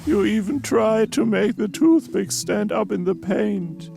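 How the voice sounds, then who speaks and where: A man's voice: high-pitched, lively intonation, measured pace, creaky, dark tone, voice pushed up, heavily acted. A man voicing a blunt, sarcastic male sidekick who makes cutting remarks, with music playing behind. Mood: dry. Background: background music.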